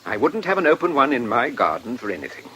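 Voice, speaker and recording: An actor playing a polite, precise, secretly troubled English gentleman in a radio drama, courteous and a little hesitant. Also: mid-range voice, very animated, quick pace, a little hiss or hum, rough recording. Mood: courteous, measured.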